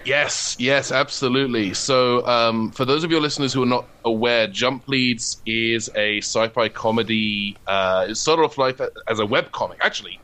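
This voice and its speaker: A man's voice: medium pitch, moderate pace, few pauses, bright, forceful tone. A man, chatting casually as an interview guest. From United Kingdom. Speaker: guest, comedian and writer.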